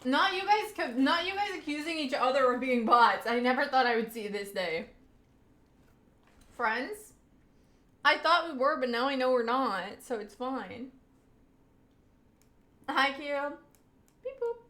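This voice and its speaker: A woman's voice: sits high, lively intonation, unhurried, long pauses, pushed and bright, echoey room. A young woman with a Southern US accent, chatting casually with her live audience as a streamer and laughing often mid-sentence.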